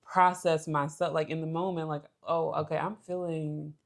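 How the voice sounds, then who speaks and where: Low, creaky-voiced young American woman. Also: flat delivery, slight background noise. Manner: halting, informal, thinks aloud. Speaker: co-host of a couples' relationship show. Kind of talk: casual conversational explaining.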